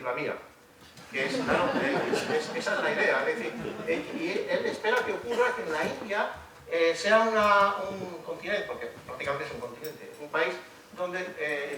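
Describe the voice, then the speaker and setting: A man's voice: sits high, bright, forceful tone. A middle-aged man giving a university lecture, speaking ironically with many hesitations and restarts. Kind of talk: public lecture. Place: lecture hall. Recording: roomy echo from a distant mic with faint noise.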